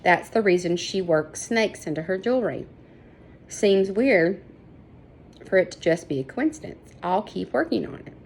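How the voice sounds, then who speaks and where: A woman voicing a friendly young boy adventurer in a storybook, steady and encouraging as he explains. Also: lowish voice, moderate pace, creaky, breathy edge, exaggerated voice. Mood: explaining. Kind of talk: storybook character voice.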